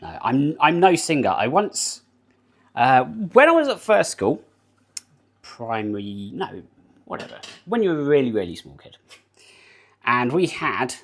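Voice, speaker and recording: A man's voice: mid-range voice, sing-song, a little slow, pauses often. A British man streaming live from his home kitchen while baking, rambling casually, laughing often and answering his chat. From United Kingdom.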